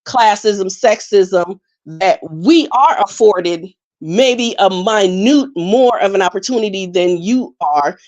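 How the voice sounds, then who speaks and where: A woman's voice: medium pitch, a little mellow. A woman, born in Jamaica and raised in the United States, speaking as she makes a long, earnest point in a live online panel discussion. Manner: earnest, measured, speaks at length. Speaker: panel participant.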